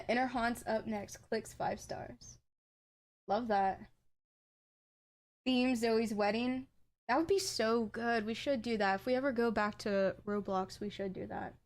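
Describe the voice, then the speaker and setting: A young adult woman with an accent, chatting casually as a game streamer and bursting into excited exclamations. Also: a little high, a little slow. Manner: chatty, bursts into excited "ooh"s over items. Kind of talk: casual live-stream chat.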